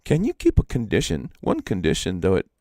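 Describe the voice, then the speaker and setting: A man voicing a stern sparrow magician from a folk tale, slowly instructing a pupil. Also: low-pitched, huge pitch swings, gravelly, mellow, no echo, clean studio sound, heavily acted.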